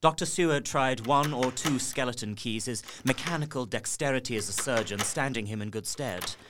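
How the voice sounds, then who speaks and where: An actor playing an earnest young gentleman who narrates his horror journal in an audio drama, in a measured, literary and haunted manner. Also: mid-range voice, background noise, natural voice. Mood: suspenseful.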